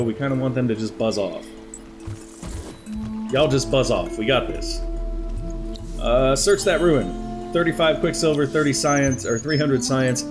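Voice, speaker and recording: Chatty young man narrating a strategy game. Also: medium pitch. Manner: chatty, thinking aloud while planning moves. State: slightly congested from allergies. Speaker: gaming commentator. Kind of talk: let's play strategy game commentary. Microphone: headset.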